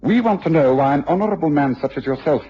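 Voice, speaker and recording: An actor playing a shrewd, commanding detective in a radio drama, with a husky English voice and a measured, probing delivery. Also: male voice, fairly high pitch, animated, a little hiss or hum, natural voice. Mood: commanding, frank. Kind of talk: mid-century British radio drama acting. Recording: dull old radio broadcast.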